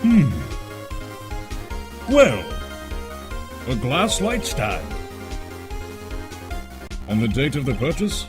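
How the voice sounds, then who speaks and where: An elderly male judge, voiced by a man, ponderous and formal, with slow, swinging intonation. Music plays clearly behind him in this courtroom game dub. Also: mid-range voice, huge pitch swings, exaggerated voice. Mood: pondering, stately. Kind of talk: video game fandub courtroom drama.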